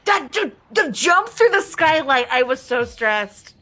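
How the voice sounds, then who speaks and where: A woman's voice: high voice, very animated, measured pace, bright, forceful tone. A woman doing casual, playful co-commentary on a live game stream in an effusive way. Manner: playful, effusive, uses internet slang.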